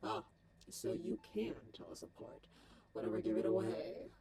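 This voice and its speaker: A woman's voice: very deep voice, huge pitch swings, moderate pace, a loud crowd, full character voice. A woman voicing a male artificial intelligence modelled on a scientist, dry and detached, with formal, precise, wordy phrasing in a scripted comic dub. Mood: dry, clinical. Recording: music behind the voice.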